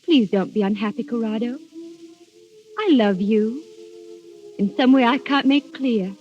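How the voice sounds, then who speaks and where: Casting: woman for a wistful, faraway young noblewoman, higher voice, formal 1930s radio style, lo-fi recording. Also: unhurried, a little mellow, lightly acted. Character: dreamy, otherworldly young noblewoman in love. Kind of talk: 1930s radio drama acting. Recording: muffled vintage 1930s radio recording, faint music.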